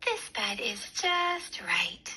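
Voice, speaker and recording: A woman voicing a curious, fussy little girl in a storybook, with expressive, drawn-out exclamations. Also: very high voice, creaky, pitched above the performer's own voice, full character voice. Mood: pleased, contented.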